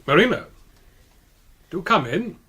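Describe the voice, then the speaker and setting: An actor playing a suave, faintly menacing English gentleman in a radio drama, polite and drily teasing. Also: high voice, big pitch swings, measured pace, husky, exaggerated voice. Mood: urbane, teasing. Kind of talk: British radio drama acting.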